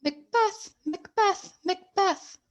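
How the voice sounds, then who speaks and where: A woman playing an eerie apparition in a Shakespearean radio play, proclaiming a bold prophecy in a drawn-out manner. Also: very high-pitched. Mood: urgent, eerie. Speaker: student performer.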